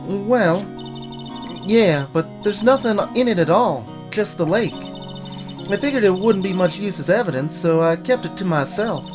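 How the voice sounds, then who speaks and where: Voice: medium pitch, animated, unhurried, music in the background, natural voice. A let's play host voicing a brash, folksy young woman with an American Southern drawl. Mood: matter-of-fact.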